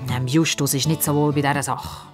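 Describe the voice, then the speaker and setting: A woman's voice: deep voice, steady tone, fairly quick, music in the background. A woman narrating a children's story expressively as a storyteller.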